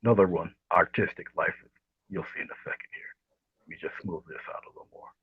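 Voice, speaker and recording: A man with a low, husky voice giving a casual painting demonstration, speaking softly and often trailing off into a murmur. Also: quick pace. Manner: soft, murmuring, trails off near whisper. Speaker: guest painting instructor. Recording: dull, roomy livestream audio.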